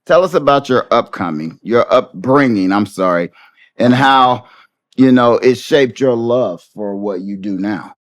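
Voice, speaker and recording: A man's voice: mid-range voice, expressive, unhurried. A man hosting a casual interview podcast, talking in a friendly, informal way. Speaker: podcast host.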